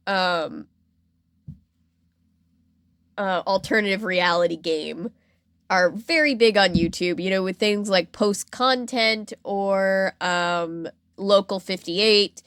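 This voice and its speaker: A woman's voice: mid-range voice, fairly bright tone, clean tone, no room sound, studio quality. A woman hosting a podcast, chatting casually and informally about pop culture history with wry, jokey asides. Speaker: podcast host.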